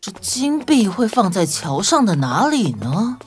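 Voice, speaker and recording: A woman's voice: mid-range voice, big pitch swings, a little slow. A woman playing a confident, reassuring young detective hero in a children's audio story. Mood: focused, reassuring. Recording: music bed behind voice.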